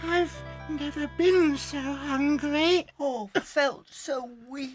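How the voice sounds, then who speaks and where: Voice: high-pitched, slow pace, loud music behind the voice. An actor voicing a hungry, whining, pleading young fox cub in a children's audio drama. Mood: weak, pleading. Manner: plaintive.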